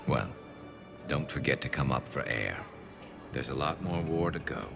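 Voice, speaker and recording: Super deep gravelly alien soldier, game character, gruff n tired, dry and grim, music under it. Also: male voice, expressive, normal speed, heavily acted. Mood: concerned, steady. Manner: grim, dry, matter-of-fact. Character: gruff alien turian soldier, male, weary but steadfast. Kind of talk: video game voice acting. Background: music behind the voice.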